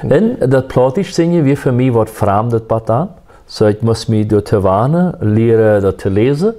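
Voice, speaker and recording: A man, a musician, telling his life story in a soft-spoken, reflective and faith-centered way. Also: mid-range voice, expressive, measured pace. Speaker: musician guest.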